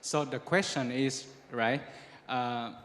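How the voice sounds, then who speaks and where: A man's voice: mid-range voice, slow pace, fairly bright tone. Rambling man asking a question, reverberant hall. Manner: rambling, filler-heavy, often seeking agreement. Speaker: audience member asking a question. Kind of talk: informal spoken question at a conference. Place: large conference event hall.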